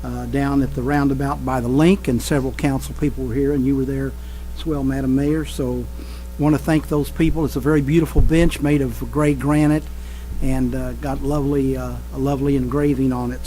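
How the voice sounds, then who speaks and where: A man, a city councilman, making announcements at a meeting in an earnest, halting way with many pauses. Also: medium pitch, level tone, dark tone, a little hiss or hum, poor audio. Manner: hesitant, many uhs, earnest. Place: council chamber.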